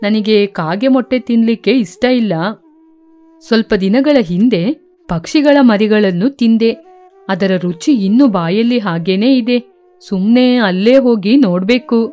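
A woman's voice: a little high, unhurried, dark tone, pitched below the performer's own voice, close to an everyday voice. Woman voicing a sly, greedy cartoon snake. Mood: hungry, sly. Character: greedy sneaky egg-eating snake. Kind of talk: children's cartoon story acting.

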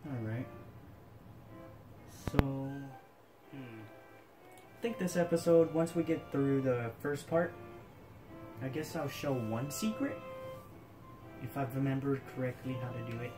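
A woman's voice: a bass voice, takes it slowly, pauses often, low-quality recording. A woman hosting a let's play, musing casually over the game and laughing often. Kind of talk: casual gameplay commentary. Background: game music.

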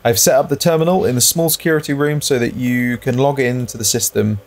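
A man's voice: medium pitch, steady tone, brisk. A man co-hosting a let's-play, speaking in an informal, conversational way. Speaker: gaming show co-host. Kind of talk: casual let's-play chat.

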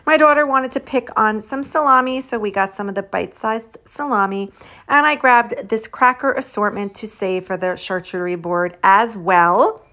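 A woman's voice: mid-range voice, normal speed. Chatty woman reading off grocery prices. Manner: chatty, steady listing of items and prices. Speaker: vlogger. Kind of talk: casual grocery haul voice-over.

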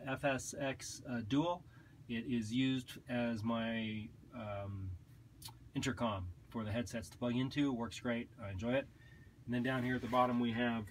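A man giving a relaxed, matter-of-fact walkthrough of his basement home flight simulator, filmed on a handheld camera. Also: medium pitch, slight background noise. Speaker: flight sim hobbyist giving a tour. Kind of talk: casual walkthrough narration. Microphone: handheld camera mic, moving around.

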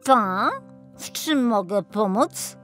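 A woman's voice: medium pitch, animated, slow, a bit bright, pitched above the performer's own voice. A cocky, streetwise old gangster car from a children's storybook, acted by a woman. He talks in a raised, commanding voice over music, like a small boss who runs the streets. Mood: brisk.

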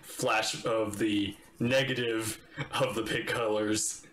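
Low-voiced male streamer, thirties, casual and musing, very roomy sound. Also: little pitch movement, slow pace, noisy background. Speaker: reaction streamer. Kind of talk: casual reactions to a game. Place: indoor livestream setup. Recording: echoey room sound. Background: faint game music.

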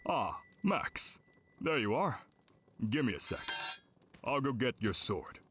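A man's voice: medium pitch, sing-song, slow pace, noisy background. A man playing a kindly, folksy older town blacksmith, proud of his swords, in an audio drama. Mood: proud, friendly. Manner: folksy, leisurely, addressing the listener as kid.

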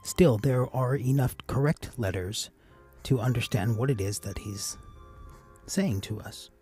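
A man giving a casual, measured instructional voice-over as an amateur radio operator hosting a tutorial. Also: mid-range voice, dark tone, slightly rough, faint music behind.

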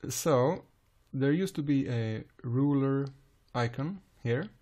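A man with a Swedish accent calmly explaining music software in a screen-recorded tutorial, pausing often with "uh". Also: medium pitch, lo-fi sound. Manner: calm, unhurried explaining with frequent "uh" pauses.

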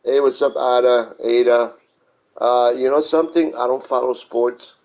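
A middle-aged man giving casual, unhurried live game commentary, repeating himself as he explains. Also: mid-range voice, fairly even intonation, darkish tone, rough recording. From New York City, United States. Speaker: video game streamer.

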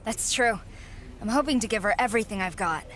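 A woman voicing a determined young female rookie wrestler in a video game, answering calmly and confidently with dry replies. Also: mid-range voice, a little fast, natural voice. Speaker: player character.

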